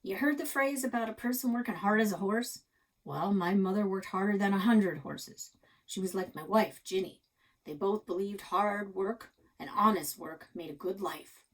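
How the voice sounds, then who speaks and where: Woman voicing a gruff, grumpy old storybook grandpa. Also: mid-range voice, moderate pace, natural voice, poor audio. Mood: proud, reminiscing. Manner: folksy, plainspoken, grumbling. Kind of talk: children's storybook read-aloud.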